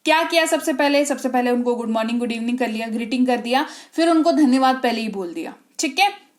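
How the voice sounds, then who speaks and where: Indian woman teaching English, high voice. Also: animated. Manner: slow, emphatic, checks understanding often. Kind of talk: spoken English lesson.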